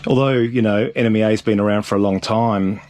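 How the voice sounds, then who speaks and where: A middle-aged man with a fairly low voice chats casually. He comes across as an informal, curious host, asking questions and thinking things through aloud. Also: fairly even intonation, fast, a little mellow, no room sound. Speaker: host of a boating channel. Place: small wooden boat wheelhouse on the water.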